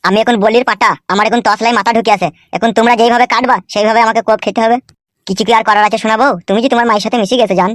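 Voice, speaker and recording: A man voicing a lovesick, foul-mouthed young husband in a comedy cartoon, high-pitched and melodramatic, pleading and grumbling. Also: fairly even intonation, racing, a bit bright, no room sound, clean studio sound. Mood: resigned, sulking. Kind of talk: amateur comedy cartoon dubbing. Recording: music under the voice.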